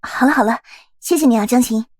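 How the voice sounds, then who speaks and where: A woman's voice: a little high, fast talker, a little mellow, no room sound, lightly acted. A woman playing a cheerful, blunt college student in an audio drama. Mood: bright, eager. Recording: faint music behind.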